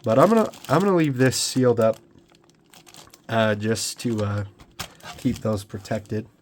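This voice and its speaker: A man's voice: fairly low pitch, unhurried, slight background noise. A young man with a cold, sounding congested, chatting casually through an unboxing at his hobby bench with plenty of ums and restarts. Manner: relaxed, rambling, lots of ums and restarts. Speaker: scale model builder. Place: home hobby workbench.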